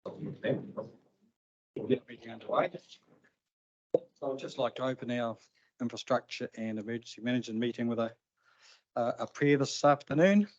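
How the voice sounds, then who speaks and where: A man's voice: mid-range voice, normal speed, long pauses, darkish tone, no room sound. A New Zealand man chairing a council committee meeting in a plain, informal way. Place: council chamber. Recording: slightly dull, dry council meeting recording.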